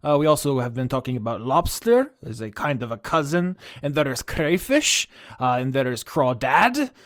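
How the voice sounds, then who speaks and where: A man's voice: mid-range voice, very animated, normal speed, no room sound, clean studio sound, natural voice. A man voicing a goofy, overconfident transfer student from a far-off ocean, with a Ukrainian accent and broken grammar. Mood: rhapsodic. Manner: earnest, rhapsodic, broken grammar.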